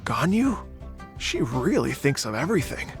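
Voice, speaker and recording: A man's voice: mid-range voice, sing-song, moderate pace, gravelly, faint music behind. A man playing an earnest, courteous and slightly flustered musician in an anime video game. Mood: thoughtful. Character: earnest, hopeful, polite male musician.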